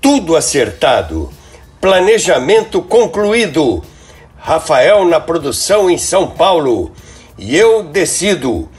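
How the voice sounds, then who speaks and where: Older brazilian guy presenting, dramatic scripted storytelling, kinda high husky voice. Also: very animated, slow. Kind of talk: scripted narration.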